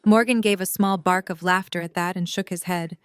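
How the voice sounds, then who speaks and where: A woman's voice: fairly low pitch, steady tone, a little fast, clean tone, no echo, studio quality. A woman narrating a story, reading scripted third-person prose as the narrator of an audio drama.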